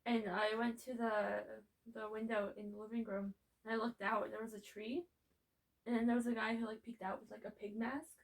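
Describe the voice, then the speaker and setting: A woman's voice: fairly high pitch, little pitch movement, brisk, distant mic, low-quality recording. A young woman co-hosting a podcast, chatting casually and laughing often. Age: young adult. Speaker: podcast co-host. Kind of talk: casual chat.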